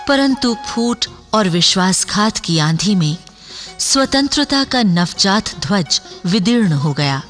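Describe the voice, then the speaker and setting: Radio drama announcer, female: measured dramatic narration, slow pace, light music bed. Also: fairly low pitch, fairly bright tone. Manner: measured, solemn, formal.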